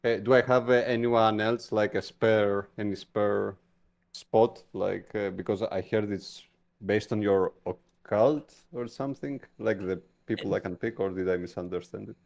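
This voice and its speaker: Gravelly low-voiced man, hesitant. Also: steady tone, moderate pace, darkish tone. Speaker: tabletop role-play player. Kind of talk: casual game chat.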